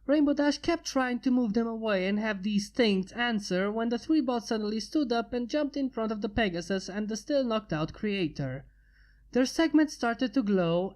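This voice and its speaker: A woman reading fan fiction aloud in a steady narration. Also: a little high. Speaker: fanfic reader. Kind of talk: amateur fanfic narration.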